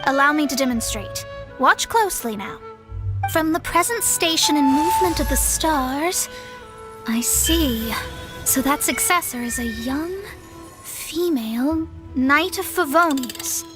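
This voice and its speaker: A woman's voice: sits high, animated, a little slow, music in the background. A woman voicing a proud, haughty young female astrologist in a video game, speaking in a pompous, formal, theatrical way. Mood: instructive.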